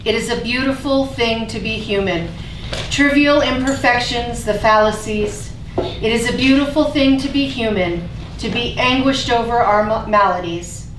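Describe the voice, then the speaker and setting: Lady host at a stage reading, kinda high voice, far from the mic, bit of noise. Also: moderate pace. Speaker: host introducing and reading a poem. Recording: echoey room, distant mic, faint noise.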